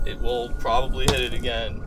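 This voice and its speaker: Game master with steady mid-range voice. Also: male voice, medium pitch, brisk, music in the background. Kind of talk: running a tabletop roleplaying game, casual rules talk.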